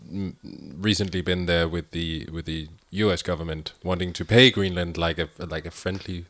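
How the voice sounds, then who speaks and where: A man's voice: low-pitched, fairly even intonation, moderate pace, fairly bright tone. A man from Denmark co-hosting a podcast, chatting casually with a guest. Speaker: podcast co-host. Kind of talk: casual interview chat.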